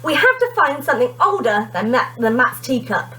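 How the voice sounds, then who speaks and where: A woman voicing an excitable, determined schoolgirl with a quick temper in a storybook. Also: high-pitched, slight background noise, full character voice. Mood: insistent.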